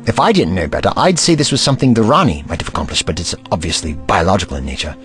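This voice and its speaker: A man plays an eccentric, brainy time-travelling scientist in an audio drama. His low voice swings widely as he muses in wordy, gently pedantic phrases, kindly yet testy, with faint music behind. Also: animated, quick pace. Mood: puzzled, determined.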